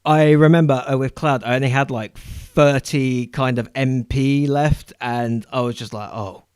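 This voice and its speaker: A man's voice: mid-range voice, steady tone, a little slow, darkish tone, clean tone. Hesitant man in casual podcast chat. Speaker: podcast co-host.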